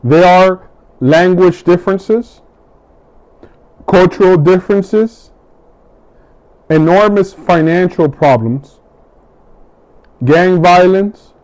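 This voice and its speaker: A middle-aged man from the United States giving a measured, deliberate campaign speech, pausing between each item he lists. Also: high-pitched, darkish tone, rough recording. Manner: measured, deliberate, with long pauses between list items. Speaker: political hopeful.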